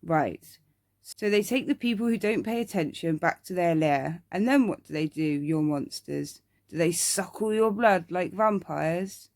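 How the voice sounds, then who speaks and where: A kindly, amused young man humouring a child in a bedtime story, read by a woman. He asks gentle questions at a slow pace, in a voice with some creak, very close and dry. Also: on the low side, lively intonation, no echo. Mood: curious. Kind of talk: ASMR bedtime story character.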